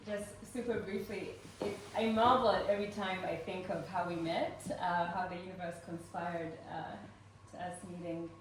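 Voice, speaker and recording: A woman's voice: lowish voice, steady tone, normal speed, hardly any pauses. Ugandan-born woman speaking in an echoey hall. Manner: earnest and warm, with frequent "um" fillers. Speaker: speaker at a fundraising event. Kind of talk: personal story told to a live audience. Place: event room with a live audience. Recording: roomy echo, voice from far off, faint noise.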